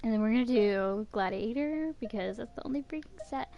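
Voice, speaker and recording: A woman's voice: fairly high pitch, lively intonation, fairly quick, warm tone, muffled recording, slight background noise. A woman game streamer giving casual, off-the-cuff gameplay commentary.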